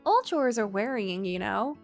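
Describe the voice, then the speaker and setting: A woman voicing a hardworking, modest young farm woman in a visual novel, matter-of-fact and gently instructive. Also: medium pitch, lively intonation, a little slow, gravelly. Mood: modest, wistful. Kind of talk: visual novel character reading. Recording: background music.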